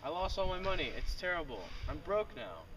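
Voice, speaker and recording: A man's voice: high voice, sing-song, a little fast. A man playing a despondent, broke investor in an amateur acted newsreel scene. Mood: despondent, desperate. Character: broke, despondent man ruined by stock crash. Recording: distant mic, noisy background.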